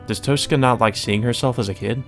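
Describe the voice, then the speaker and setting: A man's voice: medium pitch, fairly quick, fairly bright tone. A man reading a visual novel aloud and adding casual commentary of his own as a let's player. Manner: slips into character dialogue, ad-libbed asides. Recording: music behind the voice. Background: game music.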